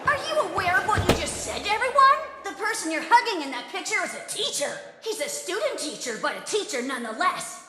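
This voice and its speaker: A woman's voice: very high-pitched, sing-song, fast, hardly any pauses, sharp tone, soft background music, heavily acted. A woman voicing a brash, scheming teenage schoolgirl politician in an anime dub, making smug, bombastic speeches. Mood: accusing. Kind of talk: anime English dub acting. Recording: echoey hall, clearly noisy.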